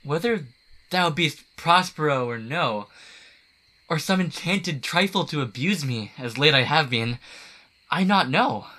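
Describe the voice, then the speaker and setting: Guy acting a remorseful bewildered king, bright highish voice, slow halting shakespeare radio drama. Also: animated, full character voice. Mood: awed, contrite.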